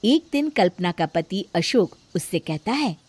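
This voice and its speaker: A woman's voice: on the low side, sing-song, unhurried, darkish tone, breathy edge, no echo. A woman narrating a scripted story as a storyteller.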